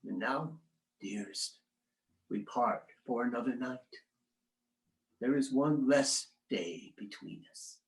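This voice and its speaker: A man's voice: medium pitch, echoey room, natural voice, rough recording. A man playing a penitent, devoted 19th-century husband in a dramatic letter reading, grave and formal in delivery. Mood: pleading, loving. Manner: grave, formal, deliberate oratory.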